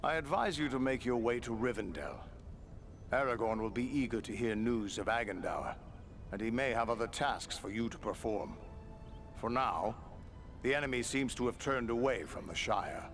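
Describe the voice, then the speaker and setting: A man playing a grave, dutiful ranger captain in a fantasy video game, speaking in formal, measured, archaic phrases. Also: medium pitch, a bit bright, faint music behind, close to an everyday voice. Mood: calm concern. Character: grave, dutiful male ranger captain, concerned for his men.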